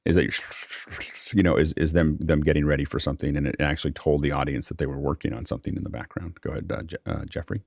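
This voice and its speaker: A man's voice: extremely low pitch, steady tone, quick pace, creaky, hardly any pauses, darkish tone, dry recording, clean studio sound. A man hosting a casual panel discussion, giving rambling, anecdotal explanations as moderator. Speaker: host and moderator.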